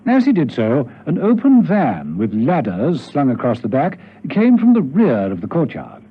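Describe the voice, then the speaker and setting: A man's voice: mid-range voice, huge pitch swings, moderate pace, husky. A man narrating a radio drama from a script in a dramatic storytelling style. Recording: dull lo-fi radio, music behind.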